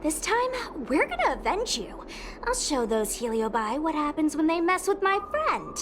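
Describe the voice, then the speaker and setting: A woman's voice: sits high, very animated, normal speed, noisy background. A woman voicing a spirited, protective young woman in a video game, brash, casual and confident. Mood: sympathetic, fired up. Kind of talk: video game character dialogue. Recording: music behind the voice. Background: game music.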